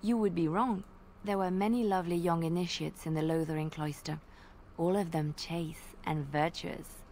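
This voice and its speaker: A woman's voice: on the low side, steady tone, normal speed, a little mellow, natural voice. Woman acting young female minstrel, devout, coy and wistful, formal phrasing, video game dialogue, noisy ambient background. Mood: playful, coy.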